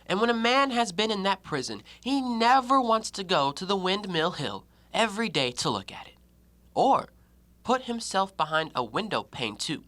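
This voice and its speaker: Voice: medium pitch, sing-song, normal speed, a bit bright. An actor in an audio play voicing a proud, shrewd, scornful educated man with formal, theatrical delivery. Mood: accusing, probing. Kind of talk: stage-style audio play acting.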